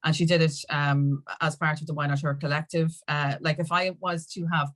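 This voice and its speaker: An Irish woman talking as an interview guest in a rambling, halting, conversational way. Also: sits low, flat delivery, fairly bright tone. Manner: rambling, halting, with many "you know"s. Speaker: podcast guest, activist.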